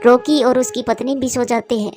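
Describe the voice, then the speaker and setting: A woman narrates a children's cartoon tale from a script. Her pitch is very high, and faint music runs underneath her voice. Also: steady tone, normal speed, darkish tone.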